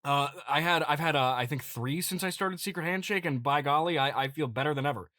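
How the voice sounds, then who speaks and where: A man with a forceful, bright voice in a fairly high register delivers an improvised comedic monologue as a podcast host. He speaks haltingly, restarting and repeating words in a mock-earnest, aggrieved manner. The sound is dry and close, with little room to it. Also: dry recording. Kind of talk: improvised comedic monologue reading listener feedback.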